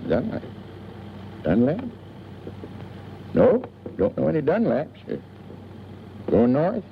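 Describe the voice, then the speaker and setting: A man's voice: fairly high pitch, wildly swooping pitch, slow pace, heavily acted. An American actor playing a talkative, folksy small-town newspaperman in a 1940s film drama. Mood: musing, chatty. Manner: folksy, chatty, rambling. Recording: dull old 1940s film soundtrack, faint noise.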